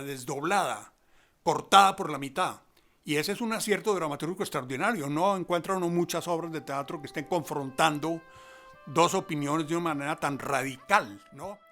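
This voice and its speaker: A man giving spoken analysis as a theatre commentator, deliberate and halting, repeating words as he goes. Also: fairly high pitch, bright, forceful tone.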